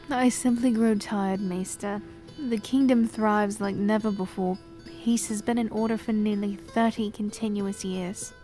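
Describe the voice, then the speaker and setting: A woman voicing a cursed young queen who speaks in a slow, formal, solemn way in a fantasy audio drama. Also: mid-range voice, steady tone, dark tone, soft background music, lightly acted. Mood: weary.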